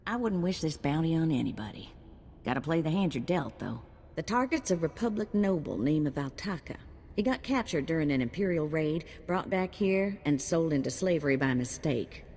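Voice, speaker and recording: An actress playing a folksy, easygoing bounty broker in a video game, speaking colloquially. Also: female voice, deep voice, lively intonation, moderate pace, darkish tone, long reverb tail, slight background noise. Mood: matter-of-fact, folksy. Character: folksy, easygoing female bounty broker, drawling gambler talk.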